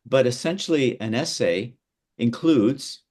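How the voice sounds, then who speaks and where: Middle-aged male teacher from Canada, steady mid pitch, stop-start delivery full of fillers, over a video call. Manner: halting, many fillers and repeated words. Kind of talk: online workshop lesson.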